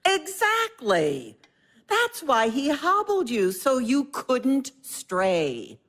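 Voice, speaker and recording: A woman's voice: high voice, wildly swooping pitch, extremely slow, fairly bright tone, exaggerated voice. An actress playing an imperious, blunt older mother in an audio drama, haughty, dismissive and emphatic. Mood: dismissive, scornful.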